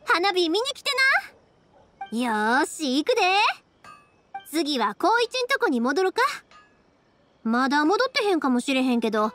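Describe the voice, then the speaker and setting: An actress playing a cheerful, kind young fireworks maker in an anime game, speaking with a Kansai accent in a friendly, chatty, expressive way. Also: female voice, high voice, a little slow, pauses often, heavily acted. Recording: studio voice over game music.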